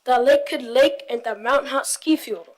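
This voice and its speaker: A woman's voice: sits high, normal speed. A young woman from Tonga reading a prepared presentation as part of a youth group.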